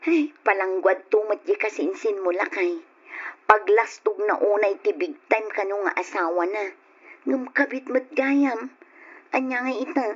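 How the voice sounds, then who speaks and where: Radio drama role: moralizing Ilocano mother, raspy mid-range voice, dull lo-fi sound. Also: medium pitch, unhurried. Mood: gossipy, disapproving. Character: gossiping, moralizing wife and sorrowful departing mother.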